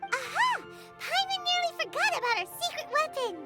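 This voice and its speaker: A tiny chirpy fairy girl from a video game, with a piercingly high voice that leaps up and down. She is eager and excitable and talks about herself by name. Loud game music plays under her lines. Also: normal speed. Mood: excited. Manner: refers to herself in third person, excitable. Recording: game dialogue over loud soundtrack.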